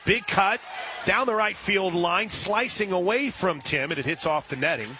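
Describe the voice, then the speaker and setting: A man calling live softball play-by-play as a radio announcer, reading sponsor lines between pitches. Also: moderate pace, noisy background. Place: outdoor ballpark during a live game. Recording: loud outdoor stadium ambience. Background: loud stadium ambience.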